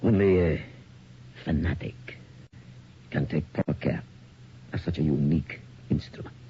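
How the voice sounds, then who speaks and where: A man's voice: extremely low pitch, moderate pace, a little hiss or hum. An actor playing an intense, courtly, fanatical male collector in a radio drama, formal, precise and insistent. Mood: intense, controlled. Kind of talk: 1980s radio drama acting. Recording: dull, lo-fi radio recording.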